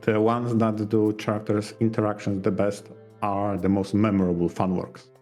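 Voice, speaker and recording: A man's voice: lowish voice, little pitch movement, moderate pace, a little mellow. A man talking casually as a podcast co-host, hesitant and thinking aloud as he goes. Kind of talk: casual podcast chat about visual novels. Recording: music behind the talk. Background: background music.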